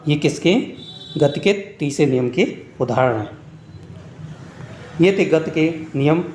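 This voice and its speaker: Male exam tutor, slightly high voice, point-by-point instructional read, recorded in a very echoey room. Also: slow, a little mellow, a little hiss or hum. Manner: instructional, reading out points one by one. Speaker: exam-prep teacher and video host. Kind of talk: scripted lesson narration with short explanations.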